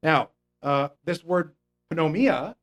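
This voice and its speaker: High-voiced man teaching a sermon. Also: animated, slow pace, fairly bright tone. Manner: explains scripture with frequent "okay?" check-ins and fillers; mocks imagined religious people in a raised voice. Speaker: preacher. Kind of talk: conversational Bible teaching sermon.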